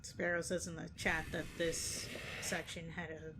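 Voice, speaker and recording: A man comments casually as he reacts. His voice is a bit high for a man and stays very flat in pitch. There is clear noise in the recording. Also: measured pace.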